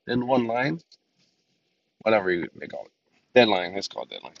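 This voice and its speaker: Gravelly, stuffy-nosed man rambling on a podcast. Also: lowish voice, very animated, normal speed, dry recording, recorded from a distance. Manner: rambling, filler-heavy. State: nasal from a lingering cold. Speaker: podcast host. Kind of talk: casual solo podcast talk. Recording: dull lo-fi, a bit off-mic.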